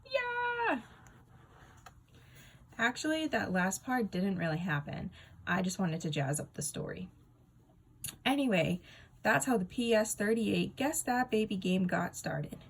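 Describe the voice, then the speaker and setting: A woman narrating a children's book aloud in an expressive storytelling style. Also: medium pitch, moderate pace, breathy edge. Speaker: storybook reader.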